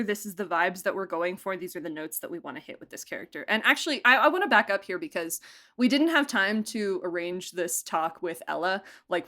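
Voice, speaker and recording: A woman talking through behind-the-scenes stories in a relaxed, chatty way, full of fillers and quick laughs. Her voice sits at an ordinary middle pitch. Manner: chatty, animated, laughs often, uses "like" a lot. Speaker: producer and director of an audio drama. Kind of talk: casual interview conversation.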